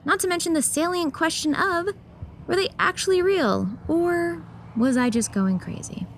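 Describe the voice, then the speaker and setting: A woman's voice: very high voice, normal speed, slight background noise. A woman playing a sardonic, jaded young woman in a horror audio drama, blunt and dry in manner. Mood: wry, confessional.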